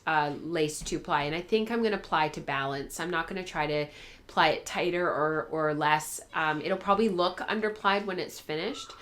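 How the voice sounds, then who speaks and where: A woman's voice: low-pitched, fairly bright tone. A woman hosting a craft vlog, chatting casually and thinking aloud in a rambling, informal way, her voice a little tired from a cold. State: getting over a cold, voice tiring. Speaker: host of a spinning and fibre craft show. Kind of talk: casual chat to viewers.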